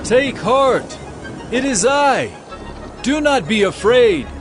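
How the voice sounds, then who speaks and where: A man's voice: very high-pitched, animated, takes it slowly, over music, exaggerated voice. A man playing a commanding, reassuring holy teacher in a dramatized audio Bible, speaking in an emphatic, declamatory way. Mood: urgent, reassuring.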